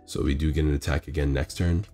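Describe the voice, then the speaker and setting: A man with a hoarse, failing voice rambling through casual play-by-play of his card game match, recorded on a phone in a local game store. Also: a bass voice, level tone, quick pace, mellow, soft background music. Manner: rambling, self-correcting strategy talk. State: hoarse, losing his voice from illness.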